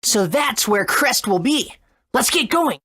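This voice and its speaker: An actor playing an eager, earnest young boy adventurer in a video game, with an excitable manner. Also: mid-range voice, slow, breathy edge, exaggerated voice. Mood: curious, excited. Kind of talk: video game character acting.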